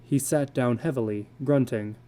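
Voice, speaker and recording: A man narrating a literary story as a dramatic reading, with measured, deliberate phrasing. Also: medium pitch, warm tone.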